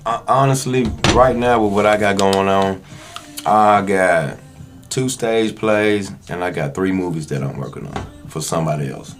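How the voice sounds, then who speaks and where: A man's voice: deep voice, moderate pace, over music. A man speaking as a podcast guest in casual, slangy conversation, candid and emphatic about his work as an actor. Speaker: interview guest, actor and independent filmmaker.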